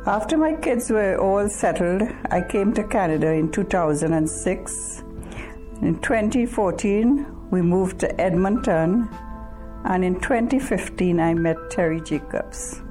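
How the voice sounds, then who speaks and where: A woman tells her story of faith at a slow, unhurried pace. Her voice is mellow and a little low, and soft music plays underneath. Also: animated, poor audio. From Trinidad. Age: 60s. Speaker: church member giving testimony. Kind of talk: personal faith testimony.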